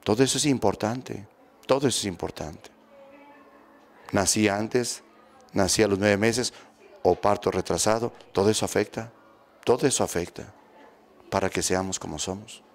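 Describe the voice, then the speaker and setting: A Mexican man in his fifties, a priest, giving a homily in a steady, instructive way, repeating phrases for emphasis, into a close handheld microphone. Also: fairly low pitch, moderate pace, vocal fry, pauses often. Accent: Mexican Spanish. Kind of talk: sermon on family healing. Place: small church room with statues, indoors. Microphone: handheld mic close to mouth.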